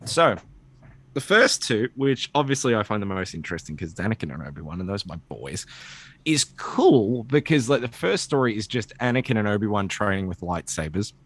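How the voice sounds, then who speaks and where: A man hosting a podcast, chatting casually in an animated, rambling, joking and sweary way. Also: fairly low pitch, fairly quick. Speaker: podcast host. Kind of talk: casual chat about comics.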